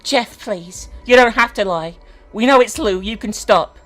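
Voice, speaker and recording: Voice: medium pitch, unhurried, a bit bright, soft background music, voice pushed up, natural voice. A performer voicing a distressed mother, dramatic and pleading, in a story reading. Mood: coaxing. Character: distressed mother of two teenage boys, stern then coaxing.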